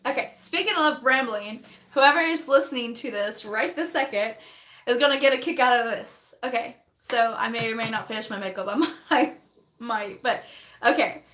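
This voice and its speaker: Girl rambling on a phone live, bright voice going hoarse, echoey little room. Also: fairly high pitch. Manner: chatty and fast, laughs often, thanks viewers by name. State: losing her voice. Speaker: livestreaming vlogger. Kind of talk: rambling live chat while doing makeup.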